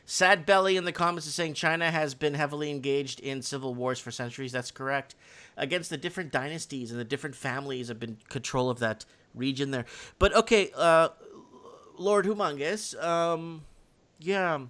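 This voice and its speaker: A man with a slightly high voice hosts a casual live chat. He talks in a halting, rambling way, searching for words and leaning on "man" and "like" as he goes. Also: steady tone, bright, forceful tone. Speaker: live stream host. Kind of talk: casual unscripted call-in chat.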